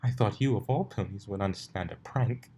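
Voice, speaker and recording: A man's voice: on the low side, brisk, dark tone, slightly rough. A man voicing a prim, dramatic female unicorn in a cartoon story, prissy and theatrical in manner. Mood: mock grave.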